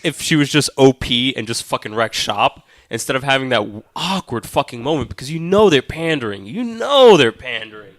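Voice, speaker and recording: A man's voice: mid-range voice, expressive, moderate pace, few pauses, a bit bright, dry recording, clean studio sound. A man co-hosting a film podcast, talking casually with swearing, emphatic and argumentative, often repeating himself to press his point. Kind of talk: casual, profane film discussion.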